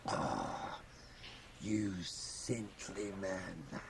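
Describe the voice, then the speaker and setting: An ancient, mischievous old priest for a radio play. The voice is heavily creaky and slow, with sly pauses and scholarly playfulness. A faint tape hiss sits under it. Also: male voice, low voice, sing-song, heavily acted. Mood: sly, wheedling. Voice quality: creaky, gravelly aged voice. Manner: sly, chuckling, hushed asides, dramatic pauses. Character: very old, mischievous, learned Jesuit priest, over a hundred. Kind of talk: radio drama acting. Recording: faint hiss, home cassette radio transfer.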